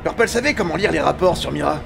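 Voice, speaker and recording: Male, actor as cynical crewmate for sci-fi cartoon dub; gravelly, slightly high, sarcastic, menacing accuser. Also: lively intonation, fast, music in the background. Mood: suspicious. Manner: sarcastic, scornful. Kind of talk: dramatic French dub of animated short film.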